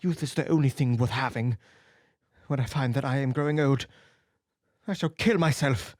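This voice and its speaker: A man's voice: mid-range voice, moderate pace, darkish tone, dry recording, clean studio sound, pitched above the performer's own voice, close to an everyday voice. A man reading an audiobook, voicing a vain, anguished young man who pleads and rises to emotional outbursts.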